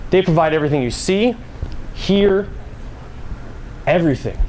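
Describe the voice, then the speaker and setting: Young man, student presenter. High-pitched, animated, conversational stage delivery with some noise behind. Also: moderate pace, rough recording. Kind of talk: prepared live speech. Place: school stage. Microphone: clip-on mic. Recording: live room, background noise.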